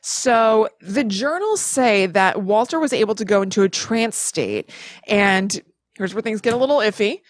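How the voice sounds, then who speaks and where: A woman's voice: mid-range voice, expressive, normal speed, no echo, studio quality. A woman co-hosting a podcast, telling stories in a casual, chatty way and correcting herself as she goes. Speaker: podcast co-host. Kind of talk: casual conversational storytelling.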